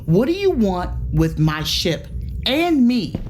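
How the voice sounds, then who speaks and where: A woman playing a guarded, intense chief mate who speaks slowly and pointedly. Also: mid-range voice, big pitch swings, a little mellow, slight background noise. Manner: slow, pointed, suspicious. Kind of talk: audio drama acting.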